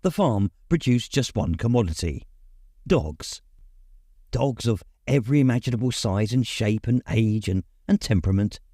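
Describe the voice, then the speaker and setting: A man reading a memoir aloud as an audiobook narrator, in measured storytelling phrases. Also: lowish voice, lively intonation, warm tone, dry recording, studio quality. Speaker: audiobook reader. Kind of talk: scripted literary narration.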